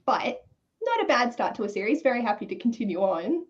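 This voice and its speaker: A woman's voice: on the high side, sing-song, brisk, lo-fi sound. A woman speaking informally as a co-host in a casual book discussion.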